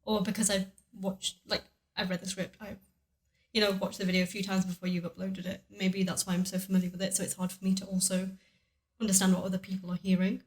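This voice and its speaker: A woman's voice: mid-range voice, flat delivery, fast. A woman chatting casually in a commentary, giving frank personal opinions with lots of "like" and "personally". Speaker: co-host and partner in commentary.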